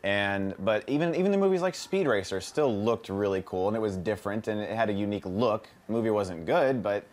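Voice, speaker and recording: A man's voice: on the low side, lively intonation, fairly quick, a little mellow. A man giving his opinions conversationally as a panelist on a movie talk show. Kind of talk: casual panel discussion.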